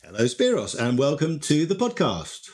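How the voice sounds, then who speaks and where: A man's voice: a little high, wildly swooping pitch, moderate pace, darkish tone. A man hosting a podcast interview, asking chatty questions and answering with short affirmations. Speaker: podcast host. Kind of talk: casual interview.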